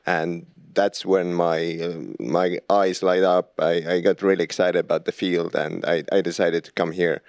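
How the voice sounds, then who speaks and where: A young man talks about his studies in a very low, deep voice. He hesitates and restarts often. The sound is dry and close. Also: level tone, normal speed, hardly any pauses, a little mellow, no room sound. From Estonia. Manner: hesitant, many restarts and fillers. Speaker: master's student guest. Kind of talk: casual interview answers.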